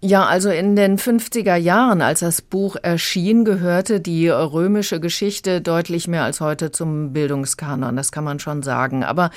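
A woman's voice: lowish voice, fairly even intonation, normal speed, hardly any pauses, dry recording. A woman giving a conversational audiobook review as a critic.

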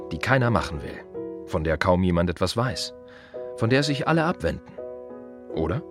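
An actor playing a plain-spoken, wry gravedigger in a radio play monologue, confiding in short halting phrases with dry irony. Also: male voice, mid-range voice, natural voice. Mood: earnest, insistent.

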